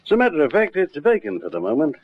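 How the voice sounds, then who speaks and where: Chatty Australian caretaker, animated pitch. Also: male voice, fast talker. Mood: obliging, chatty. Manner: chatty, hesitant, obliging. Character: helpful, talkative, slightly flustered male shop caretaker. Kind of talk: classic radio detective drama acting. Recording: muffled, faintly noisy vintage radio recording.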